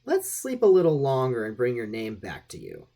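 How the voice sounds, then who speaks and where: A man's voice: medium pitch, wildly swooping pitch, moderate pace, warm tone. A man voicing a confident, inquisitive professional hypnotist in an audiobook, with a probing, matter-of-fact manner. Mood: assured, directing.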